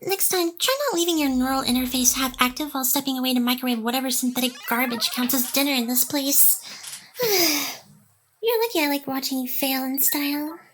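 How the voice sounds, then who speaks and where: A very high, girlish female AI companion, acted by a woman in an intimate ASMR roleplay. She teases and feigns aloofness, speaking straight to the listener with sighs, while her smitten, protective side shows through. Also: brisk, fairly bright tone, slight background noise. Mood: dry, smug teasing. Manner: teasing, mock-aloof, sighing, addressing the listener directly. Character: playful, teasing female AI hacker girl, secretly smitten and protective. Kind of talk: ASMR roleplay, intimate one-sided scripted drama.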